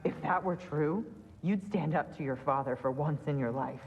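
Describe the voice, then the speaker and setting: A stern, middle-aged goddess mother from a dramatic fantasy game. She speaks low, measured and reproachful, protective and worried. The sound has long reverb, is slightly muffled and has faint music underneath. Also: a little mellow. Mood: hurt, reproachful. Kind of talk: dramatic game cutscene acting.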